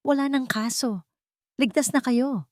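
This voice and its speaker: A woman's voice: high-pitched, unhurried, a little mellow, dry recording, studio quality, pitched above the performer's own voice. A woman voicing the firm, protective lead of a love story, a wronged grown child speaking earnestly. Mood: relieved, tender.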